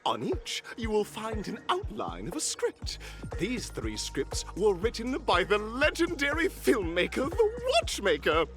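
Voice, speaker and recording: A woman's voice: medium pitch, huge pitch swings, measured pace. A woman voicing a flamboyant video game announcer who lays out challenges in a grandiose, theatrical way. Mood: grand, gleeful. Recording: loud music behind the voice.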